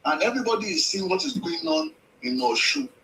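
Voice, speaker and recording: A man's voice: high-pitched, huge pitch swings, brisk, pushed and bright, a little hiss or hum, rough recording. A man from Lagos with a Nigerian accent phoning in to a live show, speaking earnestly and repeating phrases for emphasis. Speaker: phone-in caller.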